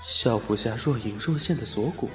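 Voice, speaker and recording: A man playing a flustered, exasperated high-school boy with a secret crush in an amateur audio drama. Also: moderate pace, a bit bright, big-room sound, faint music behind, natural voice. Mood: flustered, smitten.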